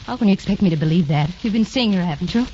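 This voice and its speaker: An actress playing a proud, demanding young socialite fiancée, reproachful and dramatic in 1940s radio drama style. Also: female voice, mid-range voice, lively intonation, rapid-fire, mellow, close to an everyday voice. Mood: hurt, scornful. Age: young adult. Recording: dull, faintly noisy 1940s radio recording.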